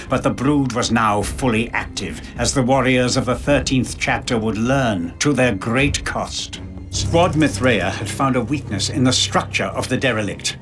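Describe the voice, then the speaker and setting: An actor playing a grave scholar who narrates a dark video game chronicle in a solemn, portentous manner. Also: male voice, medium pitch, close to an everyday voice. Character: grave male scholar chronicling a haunted derelict ship's horrors. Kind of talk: dramatic scripted game narration.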